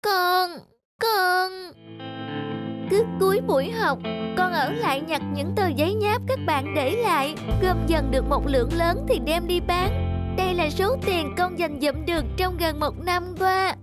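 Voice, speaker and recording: A woman's voice: extremely high pitch, unhurried. A woman voicing an earnest, loving little schoolgirl in a children's cartoon, hesitant and pleading. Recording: music behind the voice.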